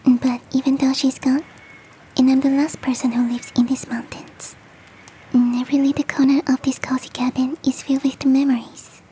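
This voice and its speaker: A woman voicing a big, fluffy, motherly and flirty yeti in a soft, teasing, whispered ASMR roleplay. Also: sits high, little pitch movement, normal speed, a little hiss or hum, close to an everyday voice, low-quality recording. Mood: wistful, warm. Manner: tender, teasing, coaxing.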